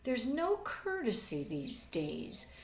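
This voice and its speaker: Woman as a weary society mother, creaky voice. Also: a little high, huge pitch swings, slow pace, pitched above the performer's own voice, exaggerated voice. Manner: weary, dismissive. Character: weary, disapproving middle-aged society mother. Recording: roomy, distant webcam microphone.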